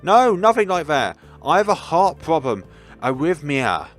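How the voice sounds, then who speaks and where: An awkward teenage transfer student from a visual novel, played by a man. He is hesitant and easily flustered, his pitch swinging widely, with faint music behind. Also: very animated, a little slow.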